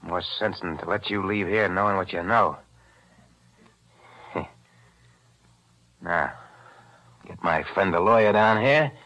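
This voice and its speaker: A man's voice: deep voice, slow, long pauses. An actor playing a tough male crook who turns cold killer, in a 1940s radio drama with streetwise slang. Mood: menacing. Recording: muffled old radio broadcast.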